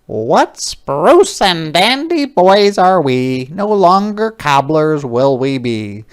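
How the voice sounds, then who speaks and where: Man putting on a high, delighted little elf. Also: big pitch swings, slow pace, smooth. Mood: merry. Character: delighted little male elves, merry and dandy.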